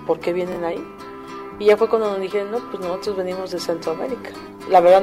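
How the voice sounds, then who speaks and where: A middle-aged woman from Mexico, earnestly recounting her community work in a documentary interview with everyday fillers. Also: lowish voice. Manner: plain, earnest storytelling with fillers. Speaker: community volunteer coordinator.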